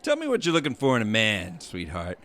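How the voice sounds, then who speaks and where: Brash, boastful rich young man, crude and arrogant, bright with animated pitch, played by a man. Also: a little fast, heavily acted. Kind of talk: audio comedy drama.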